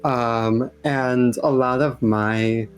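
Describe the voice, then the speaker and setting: Male cast member, medium pitch, blunt, self-mocking and conversational, faint music underneath. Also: steady tone, drawn-out words. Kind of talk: casual group Q&A chat.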